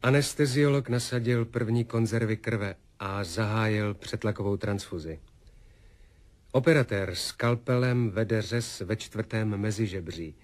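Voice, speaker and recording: An actor playing a detached medical narrator in a 1970s radio play, reporting in a measured, precise, impersonal way. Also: male voice, lowish voice, lightly acted.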